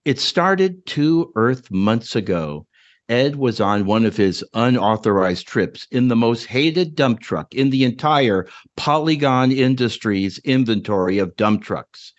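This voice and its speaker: A man's voice: medium pitch, lively intonation, measured pace, dull audio. A man giving a dramatic reading of his own short story as a podcast co-host.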